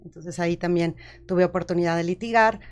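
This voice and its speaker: A lower-pitched woman. She speaks in a formal, courteous and methodical way, as a judge and academic talking on a panel. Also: fairly even intonation, brisk, clean tone. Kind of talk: panel talk.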